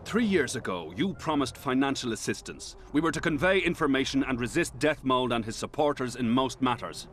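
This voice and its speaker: A man's voice: mid-range voice, lively intonation, a little fast, few pauses, a bit bright, close to an everyday voice. An actor playing a proud, haughty male nobleman in a fantasy game.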